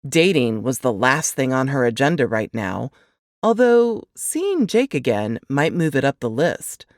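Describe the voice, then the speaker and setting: Animated woman narrating an audiobook. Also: extremely low pitch, unhurried, no echo, clean studio sound. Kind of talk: expressive audiobook narration of romantic suspense.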